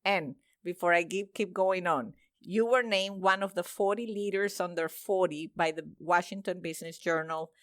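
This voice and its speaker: A woman's voice: lowish voice, moderate pace, hardly any pauses, no echo, clean studio sound. A woman hosting a podcast interview, gushing with praise and often restarting her sentences. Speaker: podcast host.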